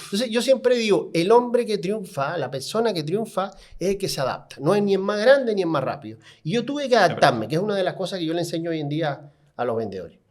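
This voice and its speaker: Joking man, higher voice. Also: big pitch swings, fairly quick. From Cuba. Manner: joking, self-mocking storyteller. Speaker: podcast co-host. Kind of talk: casual podcast chat.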